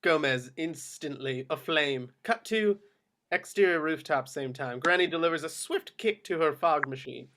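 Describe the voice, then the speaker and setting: A man's voice: a little high, moderate pace, background noise. A man reading screenplay action lines as the narrator of a table read. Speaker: stage directions narrator.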